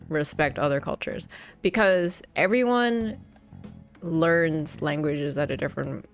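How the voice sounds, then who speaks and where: A woman's voice: sits low, creaky. A young Canadian woman streaming a game, chatting casually with lots of fillers, restarts and laughter. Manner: rambling, many fillers and restarts, laughs often. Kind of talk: casual chat while gaming.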